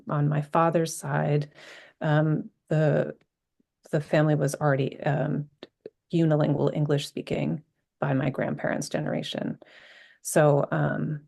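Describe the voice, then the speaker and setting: Gravelly, halting woman panelist. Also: deep voice, a little mellow. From Vermont. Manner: reflective, halting with many ums and restarts. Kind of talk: personal account on a panel.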